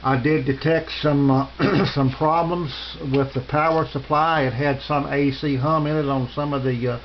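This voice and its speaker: A middle-aged man casually demonstrating gear as a hobbyist reviewer, unscripted and halting, often hesitating and clearing his throat. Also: fairly high pitch, steady tone. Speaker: amateur radio hobbyist reviewer. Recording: dull lo-fi sound with hiss.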